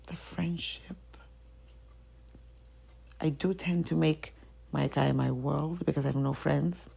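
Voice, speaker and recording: A woman's voice: sits low, creaky, darkish tone, breathy edge. A woman hosting her own podcast, talking alone in a rambling, self-questioning way and sometimes trailing off into a whisper. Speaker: podcast host. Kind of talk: solo confessional chat.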